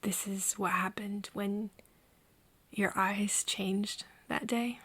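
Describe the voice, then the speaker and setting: A woman playing a frightened but stubborn human confiding in a vampire friend in an intimate ASMR audio drama, with a husky, breathy voice and hesitant delivery. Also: medium pitch, steady tone, fairly bright tone. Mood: soft, reflective. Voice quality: breathy, husky, often dropping to a whisper. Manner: hesitant, halting, stammering over words.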